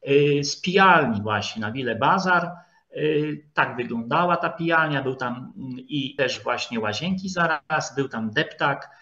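A man lectures over a video call in a medium-pitched voice. He talks haltingly, often stretching filler sounds as he searches for the next phrase. The audio is dull and lo-fi. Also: expressive, pushed and bright. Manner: halting, hesitant, with frequent drawn-out filler sounds. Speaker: lecturer, historian. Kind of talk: online lecture with slides.

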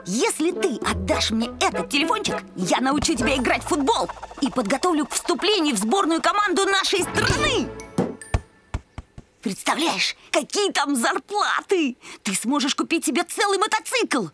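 An excitable young cartoon boy who pleads and brags. The voice is very high and swings up and down with every emphatic phrase. Music plays behind it. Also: normal speed. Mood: persuasive. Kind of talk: animated cartoon voice acting.

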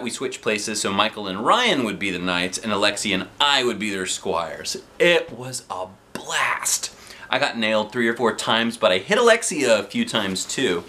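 A man reading a children's book aloud in an expressive, playful way, with chatty asides. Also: lowish voice. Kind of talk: animated storybook read-aloud with chatty asides. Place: living room sofa, indoors. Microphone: camera a short distance away.

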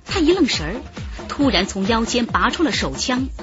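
Lady narrator for a cop thriller radio play, dramatic and animated, music behind, kinda muffled. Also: on the high side, normal speed. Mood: tense storytelling. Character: female narrator of a tense police thriller.

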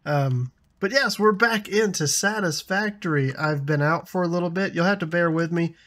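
A man in his thirties with a slightly raspy voice from a lingering cough, chatting casually over a building game and laughing often. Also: fairly high pitch, normal speed, fairly bright tone. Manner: chatty, laughs often, frequent ums and restarts. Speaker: gaming YouTuber. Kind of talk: casual gameplay commentary.

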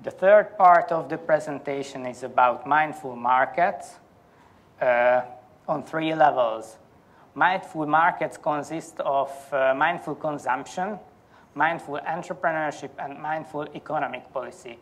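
Slow, careful male conference lecturer. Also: a little high, fairly bright tone. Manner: halting, careful, deliberate delivery. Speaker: academic conference speaker. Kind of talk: formal lecture presentation. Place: indoor university lecture hall.

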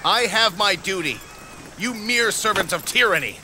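High, defiant commander, raised voice. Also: male voice, lively intonation, normal speed, shouting, background noise. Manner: firm, declamatory. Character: stern, defiant, dutiful military commander. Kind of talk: dramatic video game voice acting.

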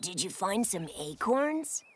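A woman's voice: mid-range voice, sing-song, takes it slowly. A woman voicing a kindly, easygoing animal friend in a children's cartoon, mild and caring. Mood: concerned.

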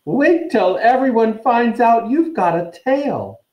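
A man voicing a gleeful, morbid teenage girl ghost with a drawn-out, theatrical delivery. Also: very high-pitched, expressive, warm tone, reverberant, pitched above the performer's own voice, exaggerated voice. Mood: gleeful, gloating.

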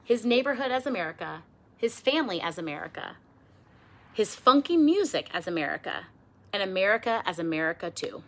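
Animated, bright-voiced woman reading a picture book. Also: medium pitch, slight background noise. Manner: animated, theatrical emphasis with drawn-out words. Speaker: storybook reader. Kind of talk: expressive children's picture book read-aloud. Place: small indoor room with bookshelves.

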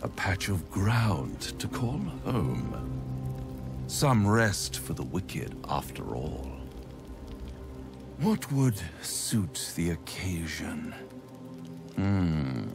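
A man playing a suave, mocking, menacing devil in a video game, with grandiloquent, drawn-out delivery. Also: rumbling low, very animated, vocal fry, over music, exaggerated voice. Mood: sly, charming. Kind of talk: video game dramatic acting.

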